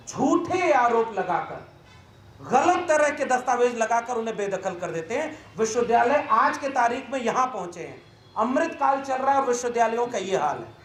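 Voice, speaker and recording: A man giving an emphatic, indignant, oratorical speech as a professor at a press conference. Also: very high-pitched. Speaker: university professor and activist speaker. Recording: echoey room with faint background noise.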